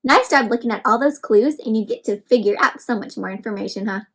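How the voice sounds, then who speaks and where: A woman's voice: fairly high pitch, quick pace. A woman hosting an interactive read-aloud for children, speaking expressively and instructively and often putting questions to her young listeners.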